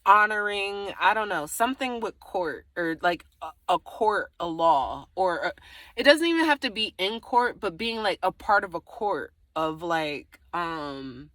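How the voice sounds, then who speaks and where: Bright, low-pitched woman reading tarot. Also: expressive, measured pace, no room sound. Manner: rambling, thinking aloud, trailing off and circling back. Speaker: tarot reader. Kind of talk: casual, improvised tarot reading to the viewer.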